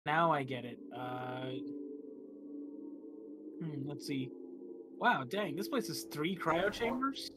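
A man's voice: on the high side, slow, pauses often. A man playing a tabletop roleplaying game, chatting casually and thinking aloud hesitantly. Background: music.

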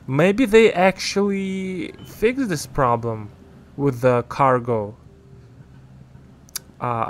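A man's voice: mid-range voice, a little hiss or hum. A man whose first language is Ukrainian, rambling through casual gameplay commentary as a gaming YouTuber, often correcting himself.

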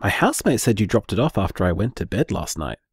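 A frank, caring young Australian man leaves wry messages for his partner in an audio drama. His lowish voice moves in lively swings. It is recorded close and dry. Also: fast, creaky, husky, no room sound, heavily acted. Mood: grateful, fond.

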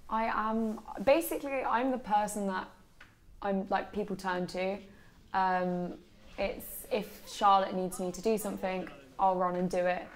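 A woman's voice: medium pitch, steady tone, measured pace. A young adult woman speaking casually in an interview as an event helper. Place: small lakeside room with large windows.

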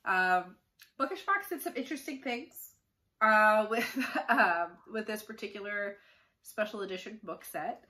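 A woman's voice: mid-range voice, animated, a little slow, bright, forceful tone. A middle-aged woman chatting casually to camera as an unboxing host, informal and opinionated, with a nasal voice stuffed up from the flu. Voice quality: nasal, congested. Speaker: book reviewer hosting an unboxing. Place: small furnished room indoors.

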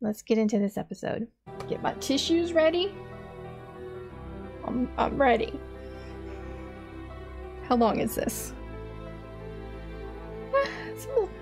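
A woman's voice: sits high, gravelly. A woman in her thirties hosting a reaction video, thinking aloud in a rambling, casual way with many fillers, in a small dim room.

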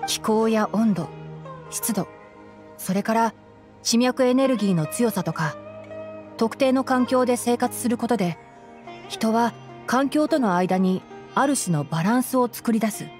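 A woman voicing a gentle young male forest ranger and scholar in a Japanese anime game, measured and explanatory. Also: fairly high pitch, animated, faint music behind. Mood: explaining. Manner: measured, explanatory, soft-spoken.

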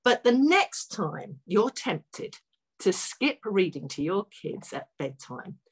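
A woman's voice: mid-range voice, sing-song, slow, slightly rough. A woman in her sixties talking informally and encouragingly to parents, as a guest speaker on a video call from home. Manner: encouraging, conversational. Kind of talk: informal advice talk to parents. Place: furnished room at home. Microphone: video call, laptop microphone.

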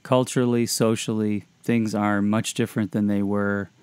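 A man's voice: on the low side, flat delivery, normal speed, a little mellow, clean tone, dry recording. A man hosting a podcast discussion about faith in a reflective, conversational style. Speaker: podcast host.

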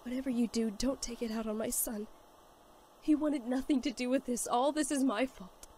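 A woman playing a loving, remorseful mother pony in an animated drama, speaking in an earnest, pleading way. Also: on the high side, moderate pace, breathy edge. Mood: regretful pleading. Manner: earnest, emotional, apologetic. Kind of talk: animated fan audio drama acting.

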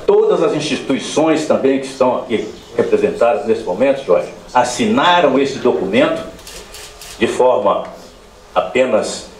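A man's voice: mid-range voice, sing-song, moderate pace, darkish tone. A man in his seventies with a Brazilian accent gives a formal, solemn and emphatic ceremonial speech into a handheld microphone. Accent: Brazilian Portuguese. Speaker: ceremony speaker. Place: crowded room at a public ceremony. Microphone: handheld microphone over PA. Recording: echoey amplified hall sound.

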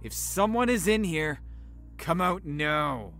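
A man plays a furious, threatening boss in an audio drama. His voice is somewhat high, with faint music behind it. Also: animated, takes it slowly, a bit bright, full character voice. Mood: angry, menacing. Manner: threatening, swearing. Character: angry, menacing rich male boss.

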